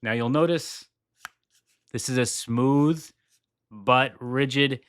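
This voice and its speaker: A man's voice: medium pitch, slow pace, a bit bright, smooth. A man hosting a tech video, walking through a product demo with enthusiasm and repeating his points for emphasis. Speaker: tech reviewer.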